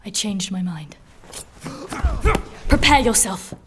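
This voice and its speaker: A woman playing a proud, menacing warrior noblewoman in a dark fantasy audio drama, stern, formal and terse. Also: mid-range voice, animated, slow, background noise. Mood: cold, threatening.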